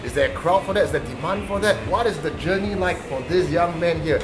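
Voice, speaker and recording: A man's voice: high voice, fast, music in the background. A man, hosting a casual interview with a joking, teasing manner. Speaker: host.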